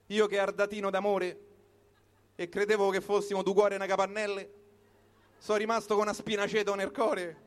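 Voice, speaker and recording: A man with a Roman accent performing a comic storytelling monologue in short, colloquial, punchy phrases. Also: sits high. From Rome, Italy. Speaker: comic performer reciting his own piece. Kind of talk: live comic storytelling monologue built on wordplay.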